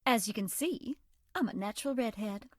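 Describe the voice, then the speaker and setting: Flirty sweet southern belle for a dating game, higher voice, coy n folksy, very dry recording. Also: female voice, expressive, normal speed, no echo. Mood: affectionate, flirty. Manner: coy, folksy, old-fashioned endearments. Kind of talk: dating game character voice acting.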